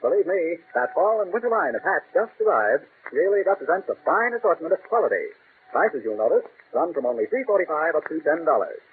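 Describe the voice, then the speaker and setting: A man reading a brisk, salesy announcement for an old-time radio show. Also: fairly high pitch, very animated. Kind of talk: 1930s radio announcer and ad read. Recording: lo-fi old radio broadcast, faint hiss.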